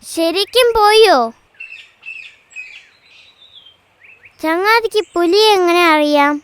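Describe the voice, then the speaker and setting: A woman's voice: very high voice, moderate pace, a bit bright, slight background noise. A woman voicing a timid but eager young leopard cat in a children's cartoon. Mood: nervous.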